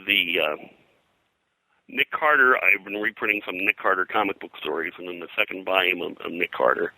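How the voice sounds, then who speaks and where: A man speaks in a deep register with a very bright, forceful edge and a gravelly fry. He explains history conversationally as an interview guest, in a halting way that is packed with detail. The sound is dry and close. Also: no echo, low-quality recording. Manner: halting, detail-heavy, informative. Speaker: interviewed publisher and pop-culture historian.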